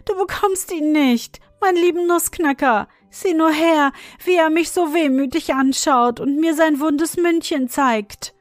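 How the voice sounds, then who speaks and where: A woman's voice: unhurried, few pauses, no room sound. A woman voicing a tender little girl who cries and pleads to protect her toy. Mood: tearful pleading. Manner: crying, high and imploring.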